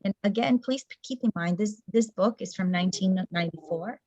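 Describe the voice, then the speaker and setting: A woman's voice: medium pitch, brisk, a little mellow, no room sound. Woman presenting over a dull video call. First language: Portuguese. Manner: thoughtful, with frequent fillers and restarts.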